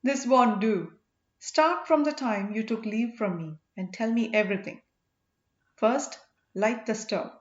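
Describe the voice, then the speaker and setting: A woman's voice: a little high, unhurried, natural voice. A woman voicing an eager, worried and devoted village wife in an audiobook, with breathless questioning. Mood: bossy, curious. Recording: echoey room, dull lo-fi sound.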